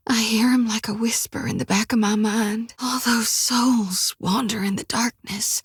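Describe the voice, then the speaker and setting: An actress voicing a devout young female reaper priestess haunted by visions, with a drawl, often hushed and whispery, recorded dry in a studio. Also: medium pitch, slightly rough, no room sound. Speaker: game character. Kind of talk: game voice acting.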